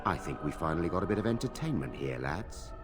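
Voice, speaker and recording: An actor playing a taunting, sadistic dungeon guard in a fantasy video game. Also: male voice, sits low, big pitch swings, quick pace, over music. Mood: menacing. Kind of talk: video game cutscene acting.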